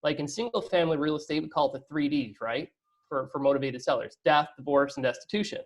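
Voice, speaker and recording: A man's voice: fairly high pitch, quick pace. A man answering as a guest in a business interview, talkative and explanatory, often checking that he is understood. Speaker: interview guest, real estate fund operator.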